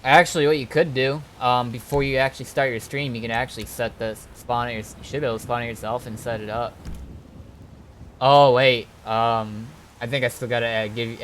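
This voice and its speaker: A man streaming an online roleplay game, chatting casually and haltingly as he thinks aloud. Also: medium pitch, flat delivery, a bit bright, a little hiss or hum. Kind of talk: casual gaming chat.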